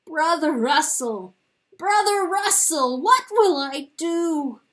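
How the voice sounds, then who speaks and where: A woman voicing an anguished, high-pitched rooster lord. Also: expressive, slow, a bit bright, exaggerated voice. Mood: desperate grief. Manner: impassioned, crying out in anguish. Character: anguished proud male rooster lord, tormented and grieving.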